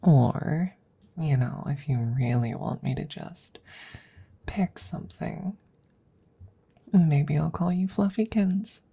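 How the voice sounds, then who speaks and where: A woman playing an affectionate, teasing witch in an ASMR audio roleplay, speaking softly and gently, often dropping into close whispers. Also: low voice, exaggerated voice. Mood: teasing, fond. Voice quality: soft, breathy, husky, often dropping to whispers. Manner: gentle, unhurried, cooing, playfully teasing. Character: affectionate, teasing young witch doting on her werewolf familiar.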